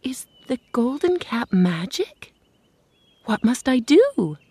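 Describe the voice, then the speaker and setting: A woman's voice: high-pitched, big pitch swings, slow pace, mellow, breathy edge, no room sound, voice pushed up, full character voice. A woman voicing a polite, eager young girl in a storybook reading.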